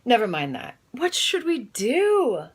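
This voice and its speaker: A woman's voice: a little high, huge pitch swings, takes it slowly, breathy edge, voice pushed up, exaggerated voice. A woman voicing a bossy, worried little boy in a children's storybook. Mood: urgent. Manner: demanding, exclaiming.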